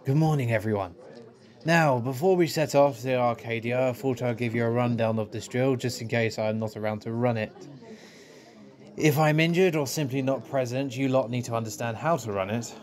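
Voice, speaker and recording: A man's voice: medium pitch, normal speed, natural voice. A man playing an affable engineering professor in an audio drama, chatty and keen to explain things thoroughly. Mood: brisk, instructive. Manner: chatty, instructive.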